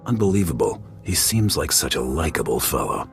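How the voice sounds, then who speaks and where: A man voicing a tough, terse bounty hunter in a video game, gravelly, dry and deadpan. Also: a bass voice, a little fast, soft background music, full character voice. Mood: dry, stoic.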